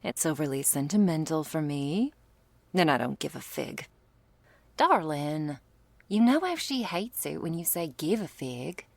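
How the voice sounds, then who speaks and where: A woman's voice: sits low, big pitch swings, measured pace, dry recording, heavily acted. A woman playing a teasing, doting female vampire lover in an intimate ASMR roleplay, with whispery asides and quaint, affectionate wording. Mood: fond, wry. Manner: breathy asides, pet names, quaint turns of phrase.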